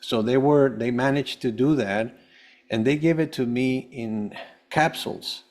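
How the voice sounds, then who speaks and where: A man from Venezuela, a podcast guest, telling a personal story in a halting way, often repeating words. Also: mid-range voice, darkish tone. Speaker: podcast guest, holistic health coach.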